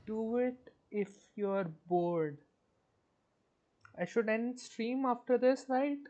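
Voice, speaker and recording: Slow-talking woman streaming a game. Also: a little high, dull audio. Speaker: game livestreamer. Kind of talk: casual livestream chat while gaming.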